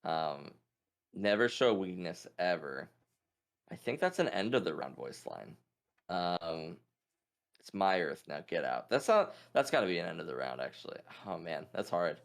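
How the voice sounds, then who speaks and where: A man chatting casually as a quiz contestant, musing out loud in an informal way. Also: low-pitched, very animated, unhurried, gravelly. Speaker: guest contestant, gaming YouTuber. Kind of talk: casual chat, thinking aloud.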